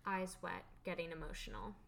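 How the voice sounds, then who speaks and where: A woman narrator reads scripted stage directions for a radio play. Her voice is bright and crisp-edged, sitting a little low for a woman. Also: fairly even intonation, a little fast, slight background noise.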